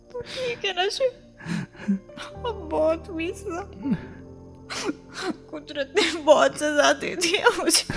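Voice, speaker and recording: An actress playing a hurt, insistent young wife in an intense, emotionally raw radio drama. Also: female voice, extremely high pitch, exaggerated voice. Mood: tearful, pleading. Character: hurt, insistent young wife, once widowed, pleading for love. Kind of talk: Hindi radio drama acting.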